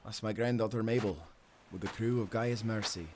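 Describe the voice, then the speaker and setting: An actor playing a tender, grieving old sailor with a Scottish accent in an audio drama. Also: male voice, on the low side, fairly even intonation, normal speed, background noise, lightly acted. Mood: somber, gentle.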